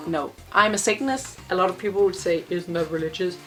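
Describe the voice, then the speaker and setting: A woman's voice: fairly low pitch, fairly even intonation, fairly quick, a bit bright, faint music behind. A young woman from Denmark with a Danish accent, chatting casually in a vlog and rambling with many fillers. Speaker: vlogger.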